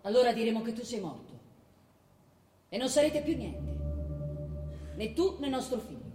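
A woman's voice: fairly high pitch, big pitch swings, normal speed. An actress playing a commanding, scheming queen in a stage drama, urgent and persuasive in manner. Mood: cold, plotting. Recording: echoey hall, distant mic, faint noise.

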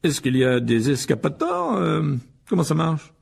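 A man voicing an eager, curious middle-aged wizard father in a storybook reading, with a raspy voice. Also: a little high, wildly swooping pitch, normal speed, pitched above the performer's own voice, heavily acted. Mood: fascinated.

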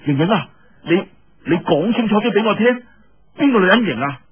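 A man voicing a young swordsman hero in a story, curious, familiar and colloquial, with a husky voice and a Cantonese delivery. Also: high voice, expressive, normal speed, voice pushed up, exaggerated voice. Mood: puzzled. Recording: muffled, dull lo-fi audio.

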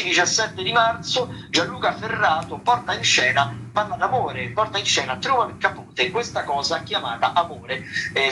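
A man's voice: on the high side, background noise. A man hosting a radio show, talking through theatre listings in a loose, semi-improvised way, often hesitating and searching for words. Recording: radio broadcast with music underneath. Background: music.

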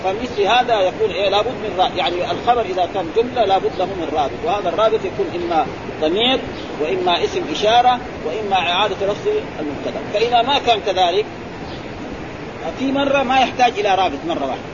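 A man with a lilt teaches grammar at a fast clip, his voice sitting high. He keeps firing little prompts at his students. The sound is muffled, with chatter and traffic noise around him. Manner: quizzing, prompting with repeated "eh?" and "ha?". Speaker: sheikh teaching Arabic grammar. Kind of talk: classroom explanation with questions to students.

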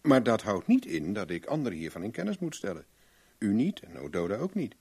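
An actor playing a shrewd, self-assured private investigator in a Dutch radio play, probing in a blunt, wry, plain-spoken way. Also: male voice, on the low side, sing-song, brisk, a little mellow, husky, heavily acted. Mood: firm, matter-of-fact.

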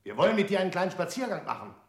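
A brusque, stern interrogator. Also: male voice, sits high, sing-song, rapid-fire, echoey room. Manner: curt, stern statements. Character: brusque male interrogator, impatient. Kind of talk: radio play acting.

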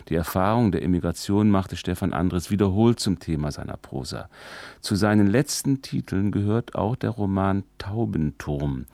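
A man presents a radio program in from a prepared script. His voice sits very low. The sound is dry and close, with hardly any room around it. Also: creaky, mellow, no room sound. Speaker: radio host.

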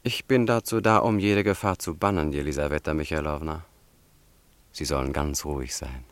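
An actor playing a polite, persuasive young student who serves as a bodyguard in a German radio drama, deferential and measured. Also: male voice, extremely low pitch, no room sound. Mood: reassuring. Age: young adult.

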